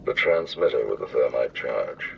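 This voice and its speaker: An actor playing a grave, methodical man in a film, reciting terse technical procedure in a clipped, deliberate way, in a distant muffled clip. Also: very deep voice, expressive, gravelly, background noise, full character voice. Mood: tense, focused. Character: grave, methodical man defusing an explosive device.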